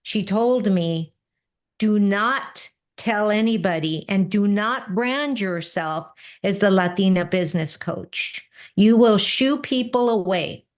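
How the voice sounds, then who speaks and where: Middle-aged woman guest, slow and earnest, talks in loops with "right?" tags. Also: medium pitch. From Mexican-American background. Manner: earnest, repeats words, frequent "right?" tags. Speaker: podcast guest, business coach. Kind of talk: conversational interview.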